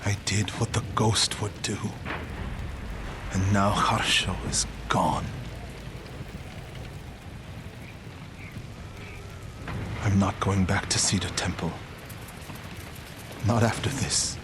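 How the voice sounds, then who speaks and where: An actor playing a grieving, vengeful warrior monk in a video game, speaking in a solemn, brooding and terse way. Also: male voice, low voice, fairly even intonation, slow pace, pauses often, noisy background. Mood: bitter, resigned. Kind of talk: dramatic video game cutscene acting.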